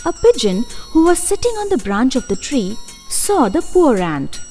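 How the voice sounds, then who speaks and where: A woman's voice: high voice, sing-song, moderate pace, mellow. A woman narrating scripted children's stories as a storyteller. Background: faint music.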